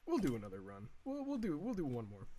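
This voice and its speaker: A man in his early twenties talks loosely over his gameplay, circling his thoughts with fillers and false starts. His voice sits a little high for a man, and he swears casually between ideas. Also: wildly swooping pitch, mellow. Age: early 20s. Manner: rambling, full of fillers and restarts, swears freely; slow, drawn-out mock whining in quoted asides. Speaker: gaming video creator. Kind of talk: rambling casual chat while playing.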